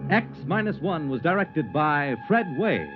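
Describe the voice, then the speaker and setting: An American man announces in formal mid-century network radio style. His medium-pitched voice moves in broad, animated sweeps over clear music, on a muffled old recording. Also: a little slow.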